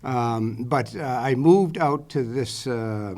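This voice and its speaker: A man's voice: mid-range voice, slow, darkish tone. A man in his sixties from the Boston area with a Boston accent, answering at a school committee interview in a rambling way with many fillers. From Boston area, Massachusetts. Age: 60s. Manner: rambling, many "uh" fillers and self-corrections, chatty. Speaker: superintendent candidate. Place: school committee meeting room.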